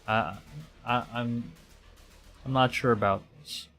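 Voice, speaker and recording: Male streamer from the Philippines, mid-pitched, unhurried and halting, commenting on a playthrough with soft music behind. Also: steady tone. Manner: halting, thinking aloud, stumbling over read lines. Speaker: VTuber streamer. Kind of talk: live game playthrough commentary, reading visual novel text aloud. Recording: background game music. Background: game music.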